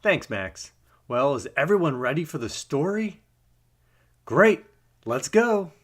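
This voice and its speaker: A man reading a children's storybook aloud with animated, expressive delivery. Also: fairly high pitch, takes it slowly. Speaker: storybook narrator. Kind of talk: children's read-aloud narration.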